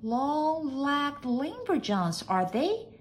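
A plucky storybook housekeeper, acted out by a woman, pitched well up high. The voice is chirpy, eager and polite, with bouncy, animated intonation. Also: extremely slow, heavily acted. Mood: doubtful. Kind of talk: storybook character acting.

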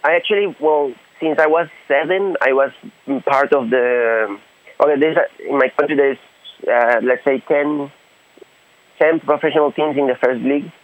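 A young man from Honduras, a footballer, answering radio interview questions in a halting way, often pausing to search for words. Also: on the high side. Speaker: guest footballer. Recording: tinny phone-line radio sound.

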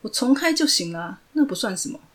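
A woman's voice: a little high, very animated, a little fast, voice pushed up. A woman voicing a stubborn, proud young shop owner who speaks firmly and indignantly. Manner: firm, indignant, raised voice.

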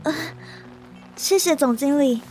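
An actress playing a flustered, eager young office employee with a crush in a romantic comedy radio drama, hesitant and full of little interjections. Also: female voice, high-pitched, a little slow, dark tone. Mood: shy, pleased. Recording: roomy reverb, music behind.

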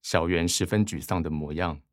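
A man's voice: sits low, normal speed, no echo, studio quality. A man reading scripted narration for a radio drama.

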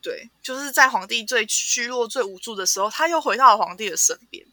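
A woman with a Taiwanese Mandarin accent hosting a podcast, casually retelling a story with animated, playful asides. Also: on the high side, a little fast, no echo. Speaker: podcast host. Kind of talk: casual storytelling and book chat.

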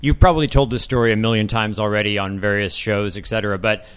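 A man's voice: fairly low pitch, fairly even intonation, fast, fairly bright tone, clean tone. A man hosting a radio interview, asking questions in a playful, teasing way. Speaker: radio host.

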